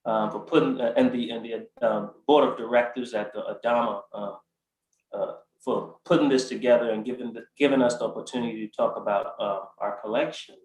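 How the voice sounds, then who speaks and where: A man in his forties from Ghana, a guest describing his life in a halting way, with many fillers and restarts. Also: medium pitch, steady tone, darkish tone, reverberant, rough recording. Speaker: art collector guest. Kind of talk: conversational interview answer.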